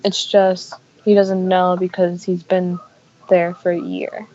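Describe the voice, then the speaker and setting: A woman's voice: fairly low pitch, little pitch movement, slow, mellow, muffled recording. A woman chatting casually as co-commentator on a gaming video, chipping in with short, teasing remarks. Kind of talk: casual chat.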